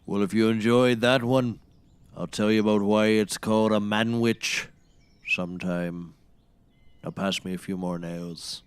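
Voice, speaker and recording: A man's voice: lowish voice, fairly even intonation, a little slow, smooth, dry recording, lightly acted. An actor playing a grandiose woodsman obsessed with seafaring, declaiming nautical bombast in a scripted comedy. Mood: grand storytelling. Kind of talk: scripted comedy audio acting.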